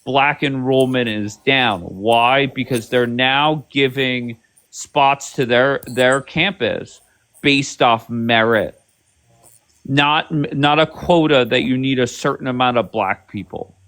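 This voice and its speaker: A man's voice: medium pitch, slow pace, fairly bright tone. A man hosts a heated live-stream debate, talking bluntly and swearing freely. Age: 50s. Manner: blunt, profane, pushy.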